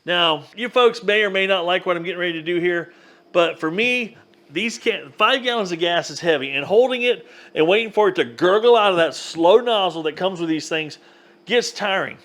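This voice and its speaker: A man's voice: sits high, animated, moderate pace, a bit bright. A plainspoken, folksy man hosting a casual hands-on DIY video, talking the viewer through each step. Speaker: vlog host giving a DIY demonstration. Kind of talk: casual hands-on how-to talk.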